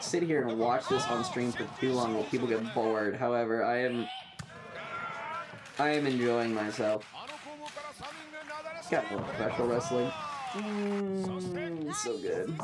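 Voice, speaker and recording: A man's voice: mid-range voice, heavy background noise. A man chatting casually on a livestream, rambling and swearing with enthusiasm as he reacts and gives his takes.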